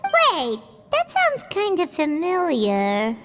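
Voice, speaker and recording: Game sidekick: tiny eager creature, extremely high squeaky voice, very animated, noisy backdrop. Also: female voice, takes it slowly, full character voice. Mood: curious. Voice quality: squeaky, childlike. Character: tiny childlike floating companion, squeaky and eager. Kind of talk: scripted game voice acting.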